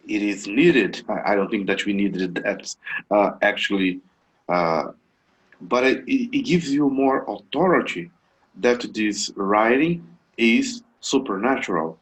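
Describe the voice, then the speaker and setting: A Brazilian man, a native Portuguese speaker, asking a question on a video call, halting with many fillers and restarts. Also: fairly low pitch, a bit bright, low-quality recording. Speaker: webinar attendee asking a question.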